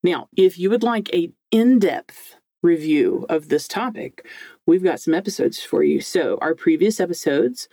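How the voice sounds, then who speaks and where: Deep-voiced woman, podcast co-host, casual and chatty, jokey, informal, very dry close recording. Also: lively intonation, measured pace, dark tone, no echo. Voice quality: deep, low-range voice for a woman. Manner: informal, jokey, mildly sweary.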